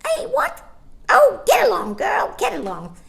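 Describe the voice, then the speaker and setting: A woman voicing a shrill, pompous, chattering male starling in a storybook reading. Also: wildly swooping pitch, a little slow, gravelly, a bit bright, exaggerated voice. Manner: pompous and wheedling.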